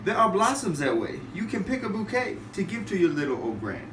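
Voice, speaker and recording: A man's voice: high-pitched, sing-song, fairly quick, pitched above the performer's own voice. A man voicing a sly, hungry storybook wolf with a coaxing, theatrical delivery. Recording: roomy, distant, noisy home recording.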